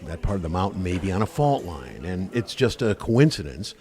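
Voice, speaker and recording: An actor playing a brash, skeptical, wisecracking buddy in a radio play, cajoling in colloquial speech. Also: male voice, sits low, big pitch swings, brisk, dark tone. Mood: skeptical, dismissive.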